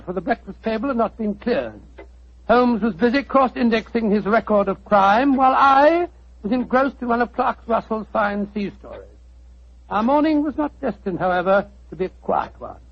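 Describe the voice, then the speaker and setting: An actor playing a kindly older male doctor, genial and a little fussy, in 1940s radio acting. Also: sits high. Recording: dull old radio recording.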